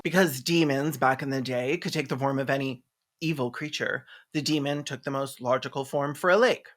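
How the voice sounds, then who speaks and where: Snarky, campy movie reviewer, mid-pitched. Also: fairly bright tone. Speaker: video essayist, film reviewer. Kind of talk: comedic movie commentary.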